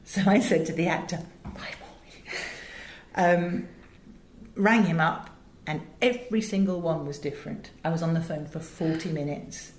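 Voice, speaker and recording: Interview guest: British woman, lower register, articulate and emphatic storyteller, roomy recording. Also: unhurried, a little hiss or hum. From England. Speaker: interview guest, audio director and producer. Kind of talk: animated conversational storytelling in an interview. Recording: roomy, echoing interview sound.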